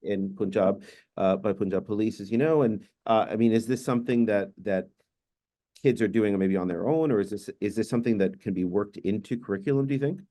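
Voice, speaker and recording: A man in his fifties, a native Hungarian speaker, moderating an online panel discussion over a video call and telling stories in a relaxed, measured way. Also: on the low side.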